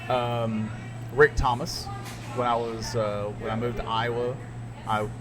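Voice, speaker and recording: A man's voice: mid-range voice, little pitch movement, a little slow. A middle-aged man, a radio DJ, co-hosting a podcast and telling stories in rambling casual chat. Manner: rambling, laughs at his own stories.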